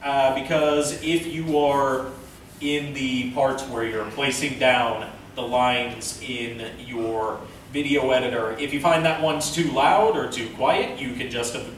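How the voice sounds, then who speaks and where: A man's voice: medium pitch, slow pace. A man presenting an instructional panel in a casual, step-by-step explanatory way. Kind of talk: instructional convention panel talk. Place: indoor convention panel room, recorded from a distance. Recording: very echoey room, distant mic, faint noise.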